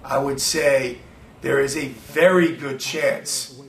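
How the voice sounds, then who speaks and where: A man's voice: medium pitch, steady tone, slow, fairly bright tone. A man giving expert commentary in an interview over a speakerphone. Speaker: academic expert on false confessions. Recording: roomy, distant speakerphone with faint noise.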